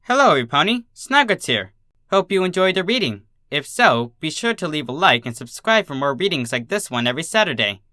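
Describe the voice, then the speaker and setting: A narrator reading fan fiction aloud in an expressive storytelling style. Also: medium pitch, brisk, no room sound, studio quality.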